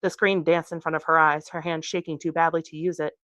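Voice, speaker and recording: Low-voiced woman reading a novel expressively. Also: level tone, a little fast, a bit bright, no echo. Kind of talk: expressive audiobook-style reading. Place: bookstore reading.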